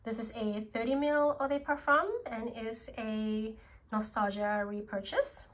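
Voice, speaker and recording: An Australian woman talks through her perfumes in a slow, gentle way. Her voice sits a little high and is thick and congested from a cold. Her delivery is unhurried and casual. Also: steady tone. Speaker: perfume vlogger. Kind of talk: casual product review.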